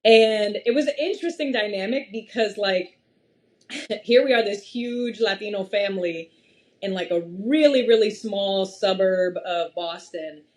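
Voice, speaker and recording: A young woman from Massachusetts, a podcast guest, talking at length in an animated, storytelling way. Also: medium pitch, unhurried. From Massachusetts, USA. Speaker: podcast guest, wealth strategist. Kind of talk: casual interview chat.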